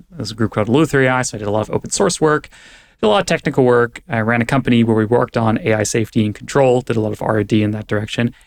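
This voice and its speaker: A man's voice: mid-range voice, gravelly. A young man from California who grew up partly in Germany, a podcast guest explaining ideas in a thoughtful, conversational way with frequent fillers. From California, raised partly in Germany. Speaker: guest, AI safety advocate.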